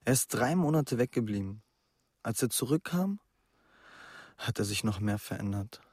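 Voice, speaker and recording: A man's voice: on the low side, animated, moderate pace, darkish tone, slightly rough, no echo, studio quality. A young man playing an anxious, earnest schoolboy who confides his worries in a naturalistic radio drama. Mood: confiding, troubled.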